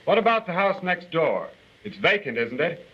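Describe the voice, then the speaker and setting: Census enumerator, 1940s instructional film: courteous, official, slightly high male voice, muffled vintage soundtrack. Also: big pitch swings, husky. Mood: polite, businesslike. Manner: formal, deliberate, explanatory. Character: businesslike, polite male census enumerator questioning residents. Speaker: actor in a government training film. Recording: dull, lo-fi 1940 film soundtrack.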